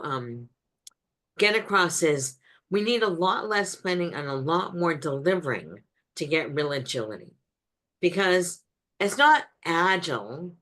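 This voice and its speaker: Low-voiced woman giving a webinar talk. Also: fairly bright tone. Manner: direct, frequent ums and restarts. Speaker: webinar speaker on agile. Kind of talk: presentation answering questions.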